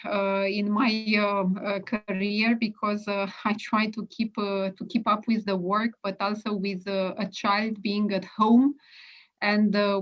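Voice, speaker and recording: A woman from Romania with a Romanian accent, introducing herself on a video call, halting with many fillers. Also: medium pitch. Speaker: brokerage and marketing staff. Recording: dull lo-fi call audio.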